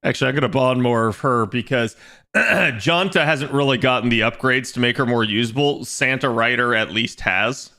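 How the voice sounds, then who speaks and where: A young man chatting casually on his game stream, rambling and restarting as he thinks aloud, with a slightly raspy voice today. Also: medium pitch, normal speed, pushed and bright. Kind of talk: casual livestream chat.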